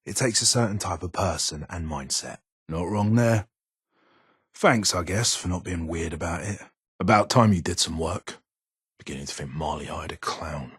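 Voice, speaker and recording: Very raspy, gruff British ghoul. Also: male voice, lowish voice, dry recording, clean studio sound. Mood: irritable, curt. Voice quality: raspy, gravelly. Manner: curt, guarded, grumbling. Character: gruff, irritable older male ghoul weapons engineer. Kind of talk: video game character dialogue.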